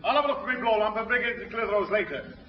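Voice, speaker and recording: An actor playing a grumbling, put-upon neighbour in a 1960s radio sitcom. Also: male voice, high voice, rapid-fire, reverberant, recorded from a distance, slight background noise, close to an everyday voice. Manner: grumbling, aggrieved. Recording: dull 1960s radio recording.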